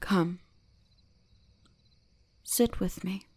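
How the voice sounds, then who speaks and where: A woman voicing a commanding, centuries-old kitsune shrine guardian in an ASMR roleplay, speaking slowly and deliberately with a teasing gentleness. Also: on the low side, husky, heavily acted. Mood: coaxing, dominant. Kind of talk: scripted ASMR roleplay addressed to the listener.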